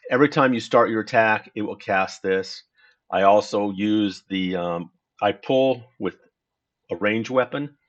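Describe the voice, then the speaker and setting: A man with a fairly low voice explains his setup for an online game at an unhurried pace. He often stops to correct himself mid-sentence. The recording sounds dull and lo-fi, as if made on a basic desktop mic. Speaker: gaming tutorial host. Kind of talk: casual screen-recorded game walkthrough.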